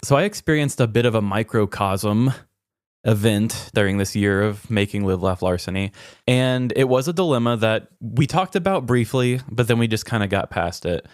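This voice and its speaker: A man with a fairly low voice talks personally and conversationally as a podcast co-host. He sounds earnest and feels his way toward the right words. The sound is close and dry. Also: expressive, normal speed, no echo.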